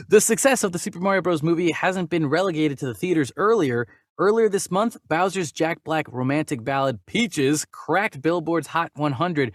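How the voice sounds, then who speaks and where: A man hosting a casual movie news chat in an animated, jokey and irreverent way. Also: high-pitched, no echo, clean studio sound. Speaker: podcast host.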